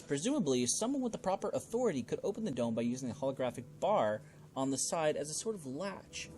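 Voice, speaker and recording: A man playing through a role-playing game, reading its text aloud and adding casual, expressive commentary of his own. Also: mid-range voice. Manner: expressive, reacting out loud to the game. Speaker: gaming video host playing a role-playing game.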